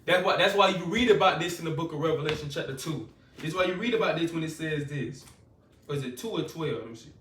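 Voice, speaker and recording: Man, preacher, fervent, repetitive, bright edgy voice, distant mic. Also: on the high side, fast, a little hiss or hum. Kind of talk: scripture-reading sermon. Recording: echoey room, voice from a distance.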